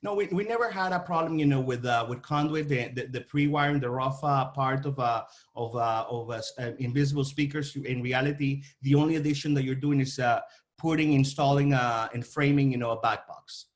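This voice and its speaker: A man answering interview questions about his trade in a halting, repetitive way, searching for his words as he explains. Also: medium pitch. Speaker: audio-visual installation company representative.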